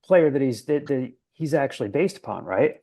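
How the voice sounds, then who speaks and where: A man's voice: mid-range voice, animated, normal speed, gravelly, warm tone. A young man hosting a casual sports podcast, chatty and self-deprecating, with lots of fillers. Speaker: podcast host.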